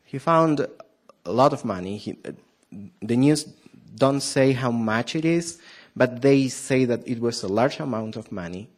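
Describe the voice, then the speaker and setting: A man's voice: mid-range voice, expressive, measured pace. A man from Argentina with a strong Argentine Spanish accent, preaching a sermon to his church, hesitant with many fillers and questions to the audience. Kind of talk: sermon to a congregation.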